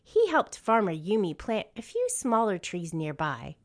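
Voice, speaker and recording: A woman reading a children's storybook aloud as narrator, in a deliberate storytelling manner. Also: mid-range voice, wildly swooping pitch. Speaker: storybook reader.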